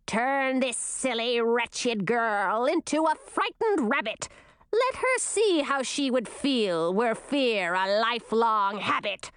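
A woman voicing a cruel queen turned into a hag, with a raspy, scornful voice in a storybook reading. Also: a little high, very animated, slow pace, sharp tone, no room sound, full character voice. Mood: chanting.

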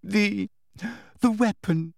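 Man doing a stammering, tearful teenage girl, high-pitched. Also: big pitch swings, slow, no echo, clean studio sound, exaggerated voice. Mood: tearful, hesitant. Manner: stammering, gulping, shrill wailing. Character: teenage girl feigning tearful, desperate confession.

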